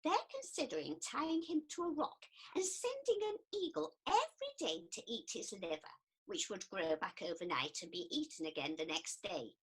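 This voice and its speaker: A woman's voice: medium pitch, big pitch swings, normal speed, breathy edge, rough recording. A woman playing an earnest, eager and caring new counsellor in a comedic radio play, with a prim manner.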